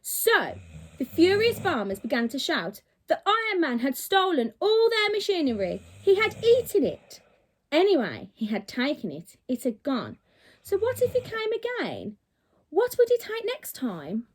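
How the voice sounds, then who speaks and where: A woman's voice: very high voice, huge pitch swings, a little slow, rough recording. A woman reading a children's story aloud like a teacher to her pupils, expressively and with dramatic emphasis on key words.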